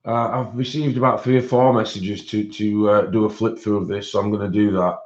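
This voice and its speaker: A man with a British accent and a croaky voice, hosting a casual livestream and chatting with viewers, rambling with many fillers. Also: lowish voice, little pitch movement, brisk. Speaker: livestream host. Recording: dull lo-fi stream audio.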